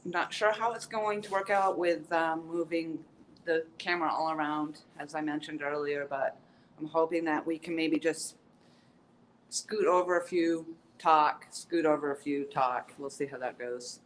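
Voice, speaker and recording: A woman's voice: sits low, fairly even intonation, unhurried, slight background noise. A woman hosting a casual live-stream tour in an outdoor courtyard, chatty and upbeat with many ums as she greets viewers, sounding stuffy-nosed and sniffly.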